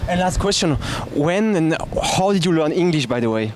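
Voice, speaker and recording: A French man hosting a casual street interview outdoors, upbeat and quick, often answering with "awesome" and "wow". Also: a little high, lively intonation, a little hiss or hum. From France. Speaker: street interviewer, channel host.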